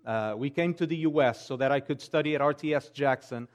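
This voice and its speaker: A man in his forties from Portugal with a Portuguese accent, speaking as a pastor to a congregation in an earnest, measured way. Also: medium pitch. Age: 40s. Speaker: pastor and seminary teacher. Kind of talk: church address to a congregation, giving updates and prayer requests.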